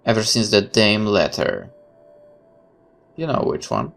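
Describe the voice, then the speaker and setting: A man's voice: on the low side, wildly swooping pitch, slow pace, creaky, fairly bright tone. A man reading a horror visual novel aloud as a let's player, with casual commentary of his own.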